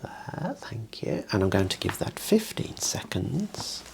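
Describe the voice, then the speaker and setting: Slow, creaky whispering ASMR voice. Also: medium pitch, big pitch swings. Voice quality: soft, breathy whisper. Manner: gentle, polite step-by-step instructing. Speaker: ASMR performer playing a clinician. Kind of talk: ASMR medical exam role play.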